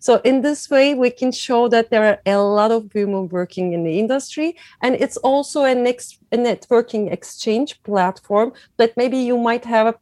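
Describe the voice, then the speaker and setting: A woman from Turkey with a Turkish accent, answering interview questions in halting non-native English, searching for words. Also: a little high, a little mellow, dry recording, clean studio sound. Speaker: podcast guest, cycling industry professional.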